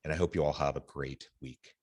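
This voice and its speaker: A man's voice: very deep voice, steady tone, a little fast, over loud music. A man speaking conversationally as the host of a podcast interview.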